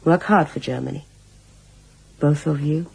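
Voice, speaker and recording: A woman's voice: low voice, unhurried, gravelly, mellow, slightly rough, heavily acted. A woman playing a cold, stern middle-aged household supervisor in a radio drama, giving curt commands. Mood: cold, scornful. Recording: noisy radio drama recording.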